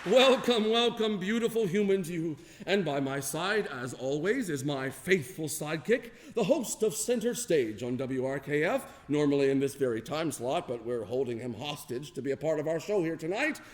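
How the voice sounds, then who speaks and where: A man's voice: on the high side, lively intonation. A man hosting a live variety radio show in a theatrical, jokey, announcer-like manner. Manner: showy, jokey, drawn-out announcing. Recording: long hall reverb.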